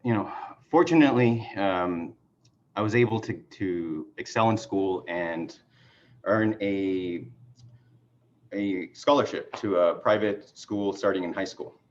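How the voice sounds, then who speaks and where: Deep-voiced man rambling on a video call. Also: lo-fi sound, slight background noise. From Mexico. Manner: rambling, halting with many fillers and restarts. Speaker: party chair candidate. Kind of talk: casual conversational self-introduction.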